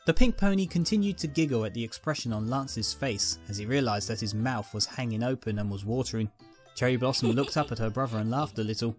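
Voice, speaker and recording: A man's voice: mid-range voice, fairly quick, soft background music. A man narrating a scripted fan fiction story.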